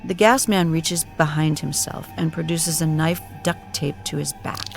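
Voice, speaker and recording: A solemn narrator of mid-range pitch tells a comic audio drama as if it were an epic. The delivery is scripted and dramatic, with music clearly playing behind it. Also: fairly even intonation, moderate pace.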